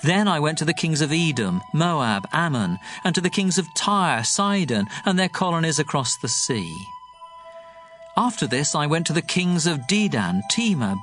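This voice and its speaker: The prophet Jeremiah in a scripture audio drama, grave and earnest, as a man plays him. His voice sits slightly high, and he delivers his warnings in a measured, deliberate way over faint music. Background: soft music.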